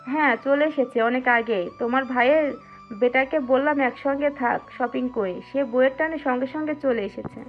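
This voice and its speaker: Chatty female relative played by a woman, high voice, slightly muffled audio drama track with music. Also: a little fast, lightly acted.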